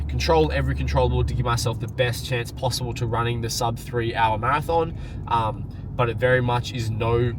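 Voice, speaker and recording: A young man vlogs with a stuffy, nasal head-cold voice, chatty and upbeat and full of "like" and "um." His pitch sits mid-range for a man. Clear car and street noise runs under him. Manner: chatty and upbeat, many fillers. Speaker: running vlogger. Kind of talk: casual vlog talk to camera. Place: in a car, then at an outdoor sports field. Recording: noisy car and outdoor sound.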